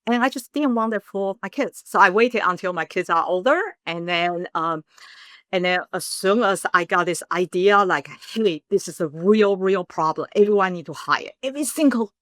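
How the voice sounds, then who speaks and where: A middle-aged woman from Taiwan, telling her story as a startup founder in a casual interview, earnest and animated in bursts. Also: fairly low pitch, normal speed, no room sound, clean studio sound.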